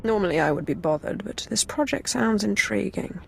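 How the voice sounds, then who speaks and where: A woman's voice: medium pitch, expressive, normal speed, gravelly, slight background noise. A woman playing a cool, ruthless Imperial warrior in a video game, with dry, self-assured, sardonic delivery. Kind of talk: scripted game cutscene dialogue.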